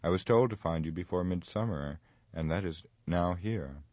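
A man as an urgent, low-voiced wizard messenger. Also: normal speed, a little mellow, no room sound, dull audio. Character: hurried, earnest male wizard messenger. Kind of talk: dramatic audiobook reading of fantasy.

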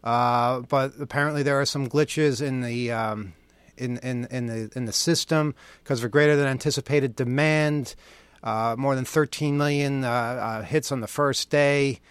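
A man's voice: medium pitch, steady tone, moderate pace, smooth, dry recording. A man hosting a radio show, bantering casually live on air in a wry, joking, sardonic manner. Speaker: radio host.

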